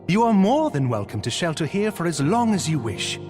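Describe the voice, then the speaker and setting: A gracious, loyal nobleman from a fantasy video game. His voice sits a little high and swings expressively through formal, courtly phrasing. Music plays behind him. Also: very animated, fairly quick, darkish tone. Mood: reassuring. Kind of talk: scripted video game dialogue.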